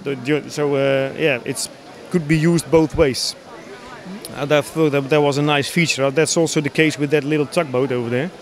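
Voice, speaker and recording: A man in his thirties from the Netherlands with a Dutch accent, explaining his hobby builds in a casual, halting interview. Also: on the high side, fairly even intonation. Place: large busy exhibition hall, indoors. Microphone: handheld interview microphone.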